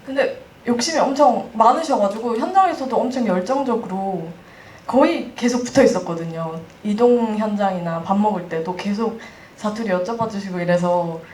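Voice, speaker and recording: Actress chatting on a roomy stage. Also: female voice, medium pitch, moderate pace, a little mellow. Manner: polite, chatty storytelling. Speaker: actress on a panel. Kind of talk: casual panel talk. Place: cinema hall. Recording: roomy, distant mic, faint noise.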